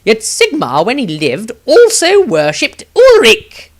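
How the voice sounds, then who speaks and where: A scornful, opinionated male adventurer, acted out by a man for a fantasy audiobook. The voice is pitched high and swings widely up and down as it lectures and mocks. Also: huge pitch swings, slow pace, heavily acted. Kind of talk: fantasy audiobook character reading.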